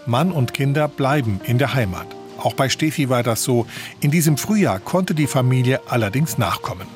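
A man's voice: mid-range voice, expressive, normal speed, faint music behind. A man reading a scripted radio report as the reporter.

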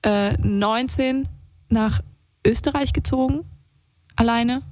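A woman's voice: on the high side, takes it slowly, a little mellow, dry recording, studio quality. A woman from Saarland in Germany hosting a live radio call-in show in an informal, chatty, slangy way. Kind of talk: casual live phone-in chat.

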